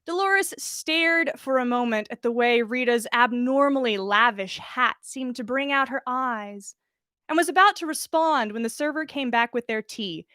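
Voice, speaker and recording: Woman, story narrator, expressive read-aloud, bright forceful tone, fairly high pitch, dry close sound. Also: no echo. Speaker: podcast host reading fan fiction.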